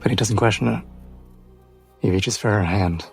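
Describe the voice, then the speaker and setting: A man's voice: lowish voice, normal speed, gravelly. A man playing a tabletop game, solemnly narrating what his character does. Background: music.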